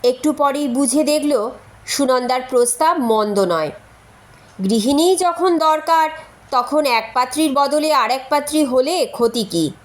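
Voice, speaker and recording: A woman's voice: sits high, measured pace. A woman reading a comic short story aloud as scripted narration.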